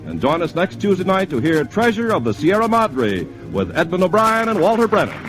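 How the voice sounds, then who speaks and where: A man's voice: high voice, very animated, fairly quick, loud music behind the voice, low-quality recording. An American man announcing in a scripted 1950s radio style, as on a vintage sponsored broadcast. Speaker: commercial announcer.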